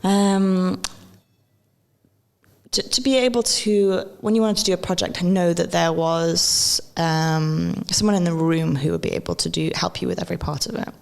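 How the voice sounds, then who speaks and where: A woman from Wales, a guest in a relaxed interview, chatty, laughing often and filling her talk with ums and restarts. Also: fairly low pitch, normal speed, vocal fry, big-room sound. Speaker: guest, maker and educator. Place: event venue at a festival.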